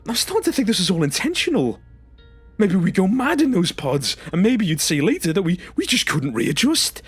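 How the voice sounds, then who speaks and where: A man's voice: fairly high pitch, breathy edge, dry recording. A man playing a traumatised, confrontational former stasis patient in an audio drama, speaking emphatically and demanding accountability.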